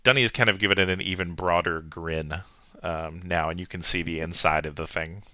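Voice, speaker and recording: A man's voice: sits low, fairly even intonation, fairly quick, bright, forceful tone, no echo, dull audio. A man improvising casual narration and rulings as the game master of a tabletop roleplaying game.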